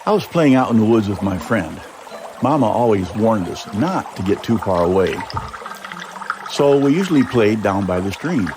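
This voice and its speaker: A man's voice: fairly low pitch, normal speed, noisy background. A man reading his own short story aloud in a dramatic, suspenseful first-person narration. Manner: expressive, suspenseful.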